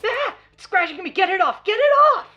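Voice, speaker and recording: A woman's voice: very high voice, big pitch swings, a little fast, dull audio. A woman playing an eager, scatterbrained teen boy. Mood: frantic. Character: excitable, dim teenage boy student. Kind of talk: comedic audio drama acting.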